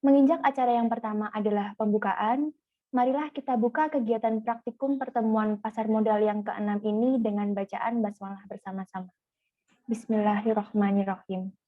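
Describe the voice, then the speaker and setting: A woman's voice: medium pitch, steady tone, moderate pace, dry recording. A woman with an Indonesian accent, emceeing an online event formally, reading out honorifics and the agenda. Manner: formal, ceremonious, reads the agenda. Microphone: video call. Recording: dry, tinny call audio.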